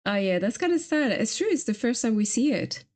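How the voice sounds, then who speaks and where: A woman's voice: fairly high pitch, very animated, brisk, a little mellow. A woman hosting a game stream in a casual, chatty style. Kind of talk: casual chat.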